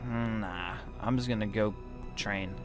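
A man's voice: fairly low pitch, fairly even intonation, unhurried, over music, close to an everyday voice. A man playing a laid-back but touchy young hellhound student, speaking in a slangy, offhand way in a game roleplay. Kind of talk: casual scripted Minecraft roleplay.

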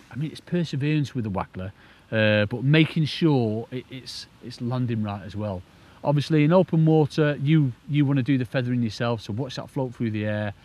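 A man's voice: medium pitch, darkish tone. A man with a British accent giving informal, enthusiastic, rambling instructional chat as an angling presenter. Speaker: angling presenter demonstrating a rig. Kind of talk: casual instructional chat to camera.

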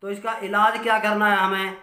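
A man, a dairy farmer giving informal advice from notes in halting, explanatory phrases. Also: sits high, level tone, sharp tone. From Haryana, India. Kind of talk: informal instructional talk from notes. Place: small curtained room at home. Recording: roomy indoor echo.

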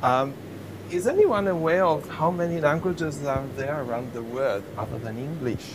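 A man's voice: animated, moderate pace. A man, an Italian lecturer, giving an interactive welcome talk, questioning the audience and hesitating often. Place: university lecture room. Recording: noisy lecture room with rumble.

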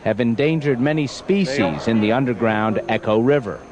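A man's voice: medium pitch, moderate pace, a crowd in the background. A man working as a news anchor, narrating reports and interviewing guests in a broadcast style.

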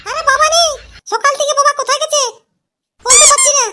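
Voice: extremely high pitch, sing-song, fast, heavy background noise. A performer voicing a young married cartoon woman with an emphatic, coaxing manner in an exaggerated comic style. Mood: nagging. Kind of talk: exaggerated comedy cartoon voice acting.

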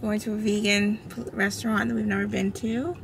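A woman's voice: mid-range voice, flat delivery, brisk, gravelly, a loud crowd. A woman in her thirties driving and chatting casually, laid-back, with short replies. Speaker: vlog co-host, driver. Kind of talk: casual car chat. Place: inside a car. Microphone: camera on the dashboard. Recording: loud car and road noise.

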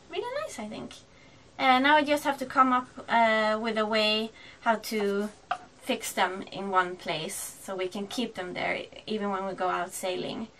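A woman in her thirties from Sweden with a Swedish accent, chatting casually in a vlog and explaining things slowly with many fillers. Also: a little high, a bit bright, slight background noise. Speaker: sailing vlogger. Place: shops, dock and wooden boat cabin.

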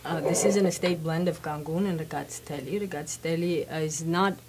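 A woman's voice: low voice, fairly even intonation, brisk, darkish tone, a little hiss or hum. A woman in her thirties from Armenia, a winery guest explaining grapes and history in a poised, informative way. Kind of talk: interview guest. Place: wine shop studio set, indoors.

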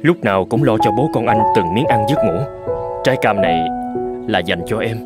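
A man's voice: lowish voice, animated, moderate pace, close to an everyday voice. An actor playing a tender, grateful husband and father in a cartoon. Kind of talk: dramatic cartoon voice acting. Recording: loud music under the voice.

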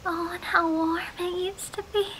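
A woman's voice: extremely high pitch, level tone, moderate pace, a bit bright, a little hiss or hum. A woman playing an obsessive, lovestruck, sweetly menacing young yandere in an intimate ASMR roleplay, often whispering. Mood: dark obsession.

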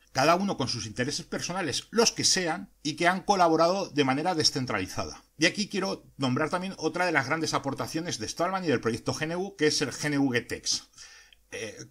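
Podcast host, man with a Spanish accent, mid-range voice, thoughtful and earnest, pauses often. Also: mid-range voice, pushed and bright. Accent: Spain. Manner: earnest, reflective, with frequent hesitations. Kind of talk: explanatory podcast monologue.

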